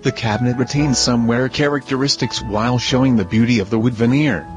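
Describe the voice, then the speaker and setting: A man with a voice of ordinary pitch reads a narration in an even, plain manner. Music plays clearly under his voice-over. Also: a little fast. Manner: steady, plain reading.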